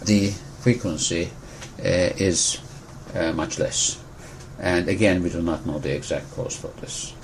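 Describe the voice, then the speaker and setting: A man explains medical matters in measured, careful sentences. He often stops to correct and rephrase himself for precision, and a faint hiss sits under his words. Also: deep voice. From Turkey. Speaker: physician, disease expert. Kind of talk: explanatory medical interview answers.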